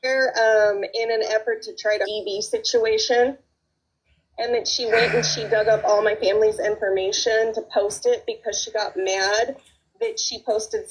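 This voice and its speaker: A woman's voice: medium pitch, a little hiss or hum, poor audio. A woman in a profane, sarcastic livestream rant. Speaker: livestreamer in a drama dispute.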